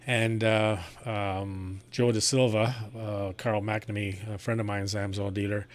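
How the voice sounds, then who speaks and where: Guy on ham radio, kinda low voice, just chatting. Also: level tone, measured pace. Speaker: amateur radio operator and live streamer. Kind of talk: casual ham radio chat.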